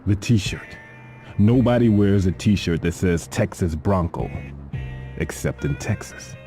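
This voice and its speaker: An actor playing a cool, terse, intuitive hitman in a video game, calm and clipped. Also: low voice, slow pace, faint music behind. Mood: cool, intent.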